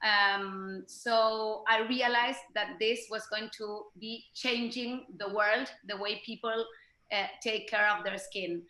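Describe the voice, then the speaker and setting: A woman in her forties, a business owner presenting her business, halting with many fillers. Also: medium pitch, steady tone, sharp tone. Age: 40s. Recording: echoey room.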